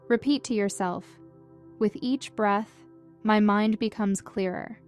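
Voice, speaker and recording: A woman leads a guided meditation with a mid-range voice. Her delivery is gentle, calm and measured, like scripted instructions meant to soothe. Music plays behind her. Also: medium pitch, clear, resonant tone.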